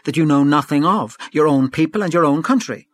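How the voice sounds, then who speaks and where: A man's voice: on the high side, a little fast, no room sound, voice pushed up, lightly acted. A man reading a story, voicing an eager, teasing young woman who presses with insistent questions.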